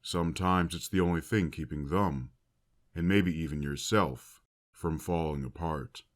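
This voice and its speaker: A man playing a grave, compassionate academy headmaster in an audio drama, speaking in formal, measured oratory. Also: extremely low pitch. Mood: solemn. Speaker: voice actor. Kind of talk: fan audio drama speech.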